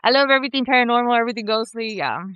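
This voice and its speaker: A woman's voice: on the high side, rapid-fire, pushed and bright, clear, resonant tone, dry recording, dull audio. A woman attending a convention, introducing herself in a cheerful way.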